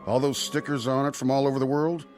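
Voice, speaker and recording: An actor playing a polite, shrewd and businesslike pawnbroker in a radio drama. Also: male voice, medium pitch, flat delivery, fast talker, darkish tone, soft background music, lightly acted. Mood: dry, businesslike.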